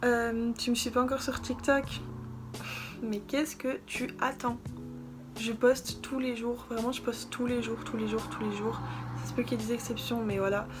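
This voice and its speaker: A woman's voice: fairly high pitch, level tone, moderate pace. A young woman beauty vlogger chatting casually and gushing about products in a haul video. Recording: music playing behind. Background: background music.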